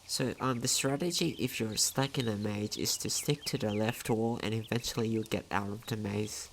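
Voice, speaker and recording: A man's voice: on the low side, fairly even intonation, normal speed, creaky, a little hiss or hum. A man doing casual let's play commentary, thinking aloud, repeating phrases and asking himself questions. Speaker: gaming commentator. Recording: game music behind the voice. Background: video game music.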